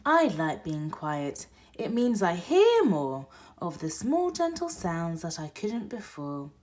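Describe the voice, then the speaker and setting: A woman reading a picture book aloud for toddlers, expressive, moving between hushed whispers and loud exclaims. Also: on the low side, takes it slowly. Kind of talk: read-aloud bedtime story for toddlers.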